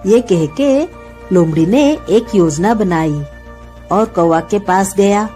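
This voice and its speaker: Slow, animated woman storyteller. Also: mid-range voice, faint music behind. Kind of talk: scripted children's story narration.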